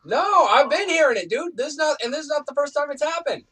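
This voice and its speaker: A man's voice: extremely high pitch, animated, fast, sharp tone. A man chatting casually in a group, blunt and slangy with plenty of swearing. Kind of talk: casual online group chat. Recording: distant microphone.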